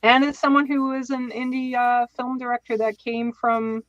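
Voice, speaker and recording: A woman chatting casually as a podcast co-host, deliberate and emphatic, repeating words for stress. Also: fairly high pitch, level tone, highs cut off.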